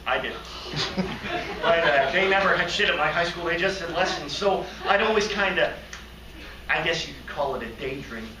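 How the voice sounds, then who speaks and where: A man playing an odd, naively earnest hotel receptionist who rambles and daydreams in a halting, excitable comic stage monologue. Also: a little high, lightly acted. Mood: rambling, earnest. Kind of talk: theatrical comic monologue performance. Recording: roomy, distant mic, faint noise.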